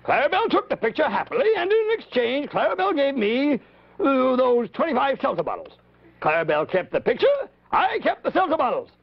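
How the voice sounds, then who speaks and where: Cantankerous old puppet fellow, sputtering, indignant, wildly swooping pitch, muffled vintage TV sound. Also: male voice, big pitch swings, brisk, creaky, full character voice. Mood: possessive, argumentative. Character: cantankerous, blustering, stubborn old male marionette grump. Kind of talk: 1950s children's television puppet acting.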